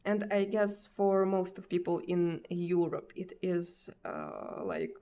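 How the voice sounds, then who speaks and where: A woman's voice: lowish voice, wildly swooping pitch, slow pace, warm tone. A Russian woman streamer speaking English with a Russian accent in casual chat, hesitant, with many fillers and repeated words. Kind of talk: casual chat answering viewers.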